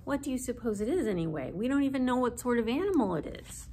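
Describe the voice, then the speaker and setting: A woman's voice: on the high side, very animated, quick pace, mellow, slight background noise, pitched above the performer's own voice. A woman voicing an earnest, urgent eight-year-old big sister in a storybook. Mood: puzzled.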